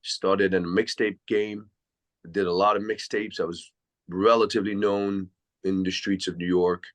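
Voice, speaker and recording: Man from switzerland, deep low voice, reflective, rambling podcast guest. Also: level tone, moderate pace, fairly bright tone. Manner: reflective, long winding answers. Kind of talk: casual interview storytelling.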